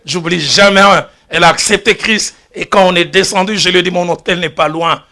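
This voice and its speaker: A man preaching a sermon as pastor, telling stories and shifting from quiet asides to loud exclamations. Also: high-pitched, brisk. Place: church.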